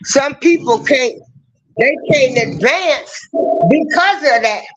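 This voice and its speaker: Man, host, slow-talking, high voice, easygoing, dull lo-fi audio with faint hiss. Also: expressive, a bit bright. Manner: easygoing, affirming, repeats himself. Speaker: host of a live stream show. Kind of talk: casual livestream talk.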